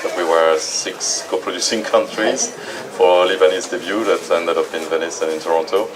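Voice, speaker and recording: A man's voice: sits low, steady tone, normal speed, background noise. A man from France, a film producer, speaking hesitantly on a festival panel with many fillers. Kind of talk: panel talk.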